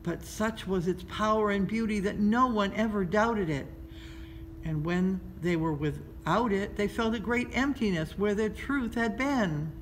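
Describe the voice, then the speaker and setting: A woman reads a children's story aloud in a measured, expressive storytelling style, pausing between phrases. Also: medium pitch, rough recording. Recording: noticeable background noise.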